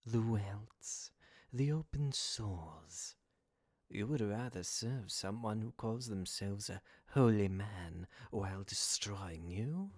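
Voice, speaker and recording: A man's voice: on the low side, takes it slowly, a little mellow, dry recording. A man playing a suave, scheming demon who tempts with courteous, insinuating, formal speech in an audio drama. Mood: coaxing. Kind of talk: podcast audio drama acting. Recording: dry amateur home recording.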